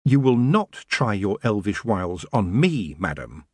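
A man's voice: on the low side, sing-song, unhurried, darkish tone, slightly rough, dry recording, full character voice. A man voicing a stern young witch in a storybook, firm and scolding. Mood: stern warning. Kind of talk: audiobook character voice.

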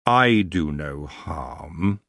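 A man doing a slow, languid elf king. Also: very deep voice, expressive, creaky, fairly bright tone, no echo, clean studio sound, heavily acted. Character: lazy, seductive male king of the elves.

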